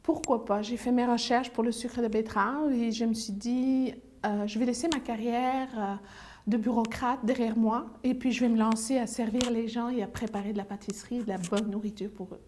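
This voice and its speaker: A woman's voice: a little high, steady tone, normal speed, dark tone, a little hiss or hum. A woman, answering interview questions hesitantly with many fillers and restarts. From Belgium. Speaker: business owner, interview guest.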